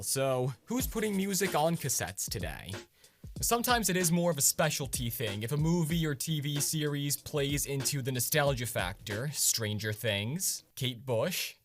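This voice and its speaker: A man's voice: a little high, animated, normal speed, a little hiss or hum. A man hosting a scripted explainer vlog in a conversational, punchy way with casual asides. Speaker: video host.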